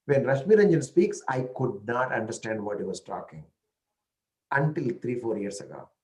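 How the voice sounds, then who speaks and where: A man's voice: mid-range voice, very animated, normal speed, darkish tone. A man with an Indian accent who speaks an Indian language natively, telling stories in a casual talk and often checking in with "right?" and "you know".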